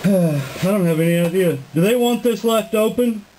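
A man's voice: high-pitched, moderate pace, mellow. A man chatting casually with fellow cavers. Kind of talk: casual chat. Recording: noisy camera sound from outdoors.

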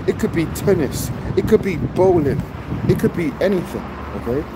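Emphatic man vlogging over loud traffic. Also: fairly high pitch, lively intonation, normal speed. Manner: emphatic, repeats points, many "you know what I mean". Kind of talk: casual selfie vlog. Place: outdoors on a street by a shop, traffic around. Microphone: phone at arm's length. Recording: loud street and car noise.

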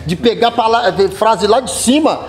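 A man's voice: extremely high pitch, moderate pace. A man guest-rapping on a panel chat, slangy and loose, with many restarts and "tá ligado". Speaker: guest rapper. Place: community center room, seated on sofa with panel.

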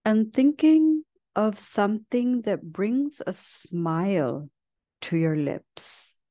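A woman leading a guided meditation as a teacher, speaking gently and leaving long, unhurried pauses between phrases. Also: mid-range voice, lively intonation, no echo. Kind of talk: guided meditation, slow soothing instruction.

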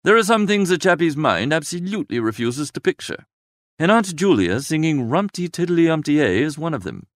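A man reading a first-person literary story aloud as an expressive narrator. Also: on the high side, brisk, no echo, clean studio sound. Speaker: audiobook narrator.

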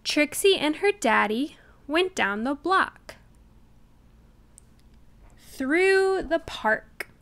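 A woman reading a children's picture book aloud, with deliberate and expressive enunciation. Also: high-pitched. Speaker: storybook reader. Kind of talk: children's read-aloud narration.

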